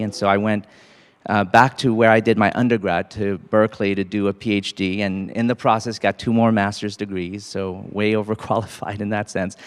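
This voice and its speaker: A man in his forties from Cambodia, an associate dean giving a casual, halting talk to students with many fillers. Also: fairly low pitch, clean tone, big-room sound. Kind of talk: faculty panel talk to students.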